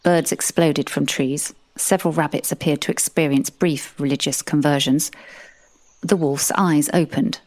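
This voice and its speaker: Woman telling a comedy horror story, low voice, dry and sarcastic narrator. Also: fairly even intonation, moderate pace, a little mellow. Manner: dry, sardonic. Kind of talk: first-person comic audio drama storytelling.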